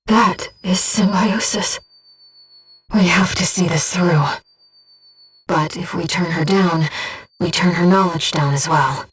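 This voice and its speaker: A woman playing a calm, devoted disembodied companion who guides a pilot in a video game, speaking in a measured, earnest, gently formal way. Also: on the low side, breathy edge, recorded from a distance, faint music behind, low-quality recording. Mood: soft, grave. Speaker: mission support companion. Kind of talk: scripted video game voice acting.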